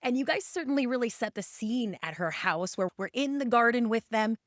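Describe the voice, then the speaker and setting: A woman's voice: on the high side, expressive, a little fast, dry recording, studio quality. A woman hosting a podcast interview in an upbeat, chatty, enthusiastic way. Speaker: podcast host.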